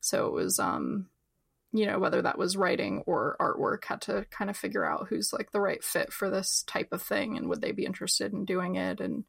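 Creaky-voiced New woman. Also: medium pitch, animated, moderate pace, no room sound. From New Jersey. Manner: chatty, many fillers and laughs. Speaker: book editor, podcast guest. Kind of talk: casual interview talk.

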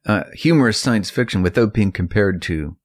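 A man's voice: on the low side, expressive, moderate pace. A man speaking in a casual podcast discussion as one of the hosts. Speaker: podcast co-host.

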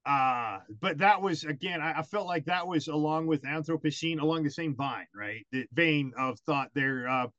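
A man's voice: a little high, moderate pace, few pauses, fairly bright tone, dry recording, dull audio. An American man hosting an interview, rambling and hesitant with many fillers and restarts. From United States. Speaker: interviewer host. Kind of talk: casual interview chat.

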